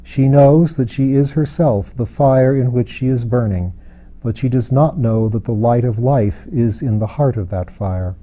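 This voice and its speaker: A man voicing a grave, compassionate wise woman in a fantasy audiobook, speaking gently, slowly and with calm patience. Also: mid-range voice, lightly acted. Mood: solemn, compassionate. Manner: gentle, slow, solemn. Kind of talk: dramatised audiobook reading of a fantasy novel.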